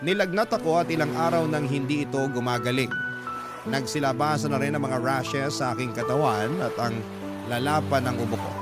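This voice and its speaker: A mid-pitched young man played by an actor tells of his hard life in a Filipino accent. He narrates in a sorrowful, confiding way for a radio drama, with heavy music underneath. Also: lightly acted. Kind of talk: first-person scripted radio drama narration.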